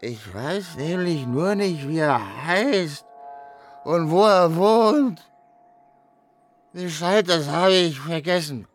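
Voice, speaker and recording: An actor playing a drunken, sleepy, confused man who explains himself stubbornly in halting phrases, in a literary radio play. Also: fairly high pitch, animated, soft background music. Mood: befuddled. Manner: halting, speaks in separated phrases.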